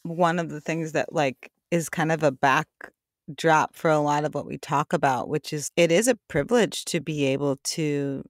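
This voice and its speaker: A woman's voice: low voice, moderate pace, no room sound. Female podcast co-host, reflective and conversational, dry close mic. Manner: thoughtful, filler-heavy. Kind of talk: casual interview chat.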